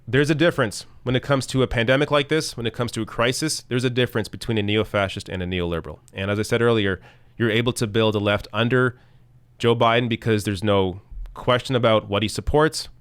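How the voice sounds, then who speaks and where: A man hosting a live political commentary stream, arguing bluntly and reading tweets aloud, with a voice that is going hoarse. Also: mid-range voice, fairly even intonation, fairly quick, dry recording. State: losing his voice.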